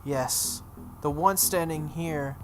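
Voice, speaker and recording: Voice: medium pitch, takes it slowly, soft background music, natural voice. A let's play host voicing a smug, cruel young girl who taunts and commands in a visual novel. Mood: boastful. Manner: taunting, imperious.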